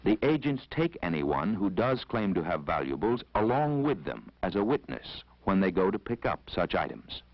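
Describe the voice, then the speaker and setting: Deep-voiced American training film narrator, male. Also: big pitch swings, no room sound. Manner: formal, measured, authoritative. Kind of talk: scripted instructional narration. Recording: dull vintage 1970s film soundtrack.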